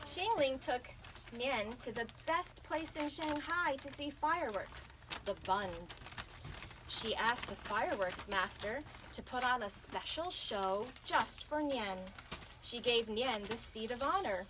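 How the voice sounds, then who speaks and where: Female storyteller for kids' picture book, unhurried and dramatic, higher register, noisy live event. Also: animated. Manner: dramatic, stretching out key words. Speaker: author reading aloud to children. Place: bookstore reading event. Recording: noisy live reading with audience sounds.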